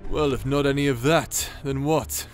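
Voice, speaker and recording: A man's voice: medium pitch, fairly even intonation, normal speed, soft background music, natural voice. A man playing a cocky, crude young knight paladin in a fantasy audio drama, with a casual, sarcastic, irreverent delivery. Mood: flippant. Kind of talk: scripted fantasy audio roleplay.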